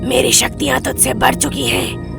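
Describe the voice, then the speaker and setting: Undead female witch, very creaky, breathy, vengeful, defiant, dramatic animated horror, music behind. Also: high voice, normal speed. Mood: menacing, defiant. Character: vengeful lovelorn female witch demon, dead and back. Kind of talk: animated horror story acting.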